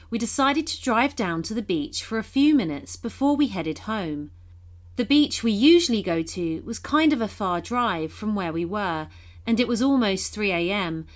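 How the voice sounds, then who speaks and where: Woman narrating a first-person horror story. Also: mid-range voice. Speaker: reader of listener-submitted horror stories.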